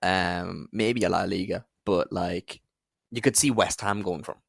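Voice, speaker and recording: A man with a lowish voice full of vocal fry chats casually about football as a podcast co-host. The sound is close and dry. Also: steady tone, unhurried, no echo.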